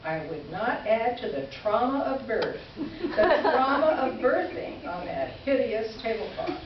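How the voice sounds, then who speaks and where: A woman's voice: a little high, big pitch swings, unhurried. Woman playing an indignant, sarcastic writer in an echoey hall. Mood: exasperated sarcasm. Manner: pointed, exasperated, dryly sarcastic. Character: indignant, sarcastic female writer dictating a complaint letter. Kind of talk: staged reader's theater letter reading. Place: indoor stage presentation before an audience. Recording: echoey hall from far off, dull, faint hiss.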